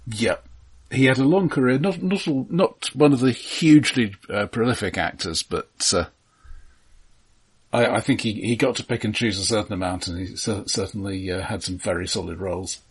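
Guy on a film podcast, kinda low husky voice, measured, thinks things through. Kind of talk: casual film discussion.

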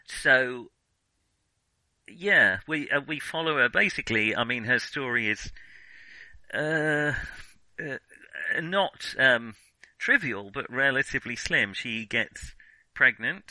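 A man's voice: mid-range voice, lively intonation, slow pace, long pauses, bright, forceful tone, no room sound, low-quality recording. A man co-hosting a casual film discussion, hesitant and often correcting himself as he thinks aloud. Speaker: film discussion podcast co-host.

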